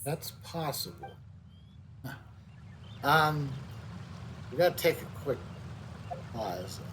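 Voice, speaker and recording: A man giving casual live game commentary, thinking aloud in a halting way. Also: on the high side, animated, background noise. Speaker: game streamer. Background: soft game music.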